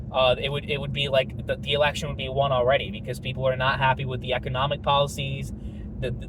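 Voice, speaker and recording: A man's voice: medium pitch, noisy background. A young man giving a rambling, profane political opinion monologue, full of fillers. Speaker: political commentator.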